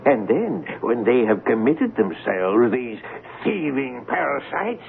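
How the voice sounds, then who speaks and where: Ranting, grandiose mad painter, swooping pitch. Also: male voice, sing-song, slow pace, gravelly, full character voice. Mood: scornful, triumphant. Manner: ranting, pompous, theatrical declaiming. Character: deranged, grandiose anarchist painter, a man who fancies himself persecuted. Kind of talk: old-time radio drama acting. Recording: tinny, hissy old radio broadcast.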